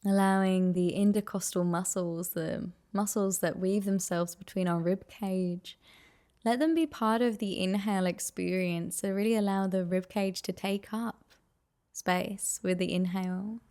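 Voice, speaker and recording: A woman leading a guided meditation with soft, soothing, unhurried and gently encouraging instruction. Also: medium pitch, fairly even intonation.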